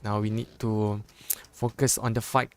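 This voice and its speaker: A young man from Malaysia with a Malaysian accent, a Malay native speaker casting a live mobile esports match in English, chatty and joking, with halting phrasing and frequent restarts. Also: on the low side, little pitch movement, background noise. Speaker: esports caster. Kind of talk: live esports game commentary.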